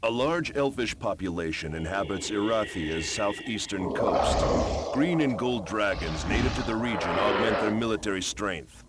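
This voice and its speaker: A man's voice: on the low side, lightly acted. Man acting stern invading warlord, very noisy audio. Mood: menacing, cold. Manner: stern, menacing, deliberate. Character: ruthless male warlord briefing an invading army. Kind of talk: scripted cutscene narration. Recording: very noisy old game audio.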